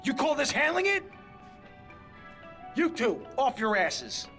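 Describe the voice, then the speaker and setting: An actor playing a commanding, short-tempered gangster in a video game, blunt and bossy. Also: male voice, very high voice, huge pitch swings, slow, sharp tone, faint music behind, full character voice. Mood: commanding, irritated. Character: commanding, short-tempered male gangster taking over a town.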